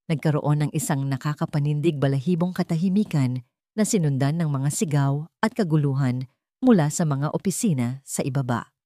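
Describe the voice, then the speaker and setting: Female Filipino storyteller for scripted drama narration; low register, dry recording. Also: moderate pace, mellow, no room sound.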